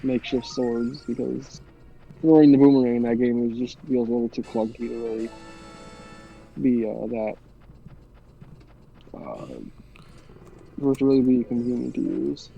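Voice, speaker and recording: A man's voice: mid-range voice, steady tone, normal speed, gravelly, pauses often, dull audio, faint music behind. A young man with a stuffy, congested voice chatting hesitantly over voice chat on his phone, with lots of restarts. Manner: hesitant, rambling, many restarts. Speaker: friend on voice chat. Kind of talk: casual chat. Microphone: phone mic over voice chat.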